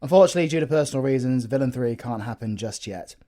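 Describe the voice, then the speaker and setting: A man's voice: mid-range voice, expressive, fast talker, mellow. A British man hosting his audio roleplay channel, giving scripted comic announcements in a dry, exasperated straight-man manner. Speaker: audio roleplay creator and host. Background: music.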